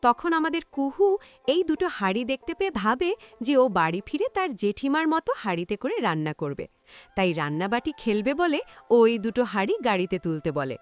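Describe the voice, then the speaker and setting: Woman playing a lively, higher-voiced visiting mother. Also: moderate pace, no echo, faint music behind. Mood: explaining. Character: young mother, visiting, politely explaining.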